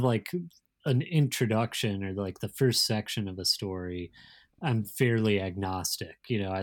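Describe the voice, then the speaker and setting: A man talking casually as an interview guest, thoughtful and explanatory, often halting as he works through his point. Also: on the low side. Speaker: magazine editor, interview guest.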